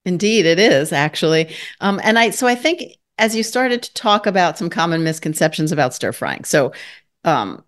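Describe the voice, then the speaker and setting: A woman's voice: lowish voice, very animated, normal speed. A woman hosting an interview, asking questions and praising her guest with enthusiasm. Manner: enthusiastic, effusive. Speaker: host. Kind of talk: interview podcast chat.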